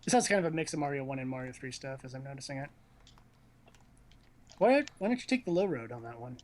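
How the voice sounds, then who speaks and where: A man's voice: mid-range voice, animated, fast talker. A man casually chatting as he watches a video game, teasing and joking in slangy talk. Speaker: commentator on a video game stream.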